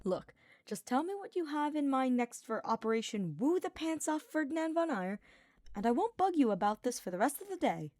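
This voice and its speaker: A woman's voice: high-pitched, sing-song, a little fast, darkish tone, no room sound, clean studio sound, pitched above the performer's own voice. A woman voicing a cocky, teasing young male knight in an audiobook, casual and slangy. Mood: playful teasing. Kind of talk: audiobook character voice.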